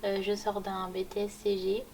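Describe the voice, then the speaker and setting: A woman of twenty-three, a student ambassador, speaking quietly and low-key. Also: completely flat delivery, moderate pace, vocal fry, noisy background. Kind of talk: casual testimonial.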